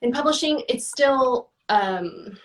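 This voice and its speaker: A woman's voice: sits high, lively intonation, slow. Chatty woman, slightly distant mic. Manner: chatty, many fillers and restarts. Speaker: guest, book reviewer. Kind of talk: casual interview answers.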